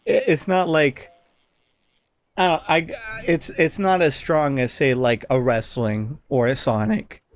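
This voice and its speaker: A man's voice: fairly high pitch, steady tone, slow pace, slight background noise. A man chatting casually as a podcast co-host, telling anecdotes in a rambling, informal way with some swearing. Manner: rambling, informal, profane at times.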